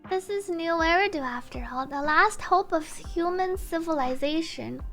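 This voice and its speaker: A woman with a very high-pitched voice reads game story lines aloud. Her delivery is emotive and dramatic, like a streamer acting along with a cutscene. Music plays softly behind her. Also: normal speed. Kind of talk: reading translated subtitles aloud.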